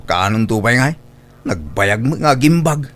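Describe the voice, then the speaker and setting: A man's voice: mid-range voice, big pitch swings, unhurried, a bit bright. A man with an Ilocano accent acting a stubborn, hard-drinking young suitor who whines and pleads in a comedy audio drama. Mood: impatient whining.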